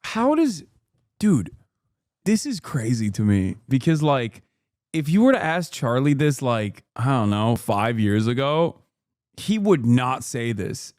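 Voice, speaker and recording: A man streaming live and reacting to a debate clip, joking and laughing often, dropping to low mutters and jumping to loud high outbursts. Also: sing-song, takes it slowly, dry recording, clean studio sound. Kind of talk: casual reaction commentary.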